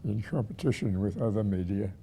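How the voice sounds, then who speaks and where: A man of about a hundred with a husky, aged voice, reminiscing haltingly as a retired museum director. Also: fairly low pitch, animated, mellow, a little hiss or hum. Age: 100. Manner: halting, with repeated words and pauses.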